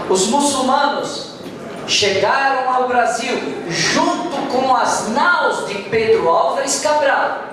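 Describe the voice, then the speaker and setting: A man giving a slow, emphatic public address to a congress audience, calling for their attention. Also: extremely high pitch, noisy background. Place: large hall with audience. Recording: echoey hall, far from mic, crowd behind.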